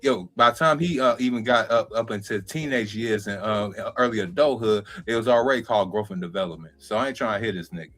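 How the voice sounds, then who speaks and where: Low-voiced slangy co-host man. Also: fast talker, few pauses, fairly bright tone. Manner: slangy, trailing fillers. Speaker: co-host, novice comedian. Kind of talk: casual talk-show chat.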